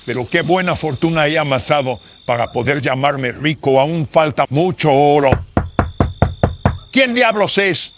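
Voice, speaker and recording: A man's voice: fairly high pitch, expressive, a little slow, over music, voice pushed up. A man playing a corrupt, greedy colonial notary who gives scornful, imperious orders in a melodramatic horror radio drama. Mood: greedy, irritable.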